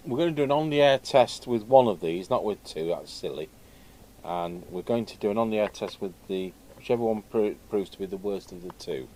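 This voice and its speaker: A man with a low-pitched voice talks casually through a hands-on repair job. He speaks in short remarks, half to himself. There is noticeable noise behind his voice, recorded indoors at a workbench. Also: expressive, moderate pace, warm tone, rough recording. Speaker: radio repair vlogger. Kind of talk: casual hands-on bench commentary. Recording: clear background noise from a workshop. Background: background noise.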